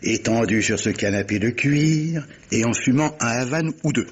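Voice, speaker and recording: A man's voice: medium pitch, normal speed, highs cut off, slight background noise, natural voice. A man playing a worldly, jovial former army captain turned amateur sleuth, theatrical and wry, in an old-style radio mystery. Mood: jovial, leisurely. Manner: theatrical, leisurely, wry, chuckling.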